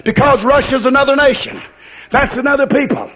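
A male preacher with a very high-pitched voice delivers a fervent revivalist sermon. He declaims in a sing-song cadence, circling back on phrases for emphasis and pressing rhetorical questions on his listeners. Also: a little fast, fairly bright tone.

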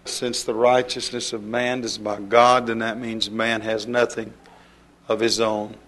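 A man giving an informal sermon in plain, folksy speech, slowing down to list things one by one. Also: fairly low pitch, level tone, fairly bright tone. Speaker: preacher.

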